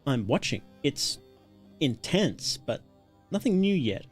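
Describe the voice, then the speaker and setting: A man's voice: on the high side, big pitch swings, slow pace, slightly rough, soft background music, exaggerated voice. A man voicing an exhausted, guarded woman scientist with terse, hesitant speech in a dramatic horror story reading.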